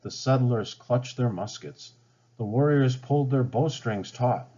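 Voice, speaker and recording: A man reading a children's storybook aloud with dramatic, expressive narration. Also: mid-range voice, unhurried. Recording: slightly dull, band-limited sound.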